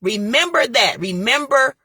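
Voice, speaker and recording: A woman's voice: on the high side, lively intonation, measured pace, bright, forceful tone, slightly rough, dry recording, studio quality. A young woman with giving an impassioned motivational talk, repeating her points and speaking straight to her viewers. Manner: preachy, repeats phrases, talks straight to viewers. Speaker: motivational vlogger.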